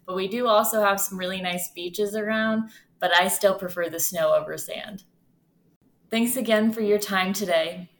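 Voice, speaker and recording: A woman's voice: medium pitch, steady tone, normal speed. A woman from Ohio hosting a podcast, talking in a friendly conversational way. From Ohio, USA. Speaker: podcast host. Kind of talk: casual interview.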